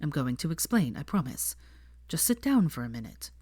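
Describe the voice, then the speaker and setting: A woman voicing a smug young wizard man who explains himself calmly, carefully and with unhurried self-assurance, as in a storybook. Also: sits low, breathy edge, no echo, heavily acted.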